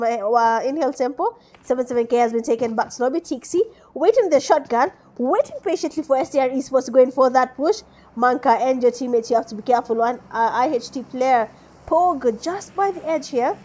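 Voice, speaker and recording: Young Kenyan woman, high-pitched and chatty, streaming her own esports commentary. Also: a little mellow. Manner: chatty, laughs often, mixes in Swahili. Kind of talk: live game commentary.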